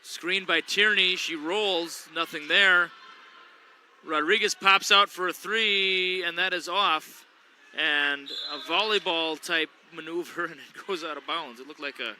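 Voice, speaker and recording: Bright-voiced man calling a local game. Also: fairly high pitch, a little hiss or hum. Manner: chatty, joking play-by-play. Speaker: play-by-play announcer. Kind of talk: local sports commentary. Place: school gym. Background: gym ambience, bouncing basketball.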